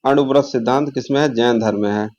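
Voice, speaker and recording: A man's voice: medium pitch, quick pace, a little mellow, highs cut off. Man teaching, question by question. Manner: didactic, asks questions then answers them, repeats key terms. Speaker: exam coaching teacher. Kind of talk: question-and-answer exam lesson.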